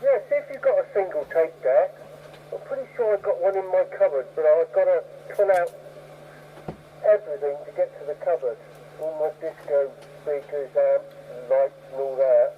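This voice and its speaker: A man's voice: fairly high pitch, moderate pace. A man chatting casually over CB radio, talkative and given to repeating phrases for emphasis. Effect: CB radio transmission. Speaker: CB radio operator. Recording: tinny narrowband CB radio, clear static noise.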